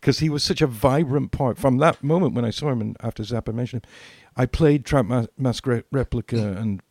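A man's voice: medium pitch, fairly quick, darkish tone, slightly rough, dry recording. An older British man reminiscing as a podcast co-host, repeating phrases for emphasis. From Britain.

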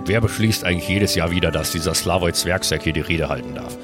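A man's voice: low-pitched, quick pace, music in the background, pitched below the performer's own voice, close to an everyday voice. A man playing a grumpy, dismissive dwarf brother in an improvised audio play, often repeating himself. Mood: grumbling.

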